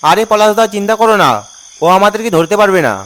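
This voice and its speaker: Man voicing an excitable high-voiced village lad. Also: fast talker. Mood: cocky, excited. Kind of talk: cartoon voice acting.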